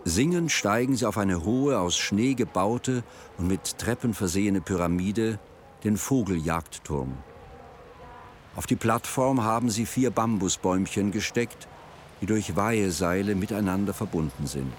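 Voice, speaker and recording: A man's voice: on the low side, measured pace, slight background noise. A man reading scripted narration for a radio drama.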